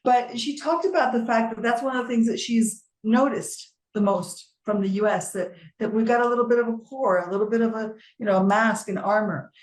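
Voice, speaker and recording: A woman co-hosting a podcast, talking in animated, emphatic bursts in casual chat. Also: fairly high pitch, fairly quick, few pauses. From Colombian American. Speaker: podcast co-host. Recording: roomy echo.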